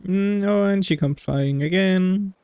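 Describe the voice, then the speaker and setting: A woman's voice: lowish voice, unhurried, warm tone, clear, resonant tone, no echo. A woman giving casual commentary as she plays a game, with game music behind her. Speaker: streamer playing a game.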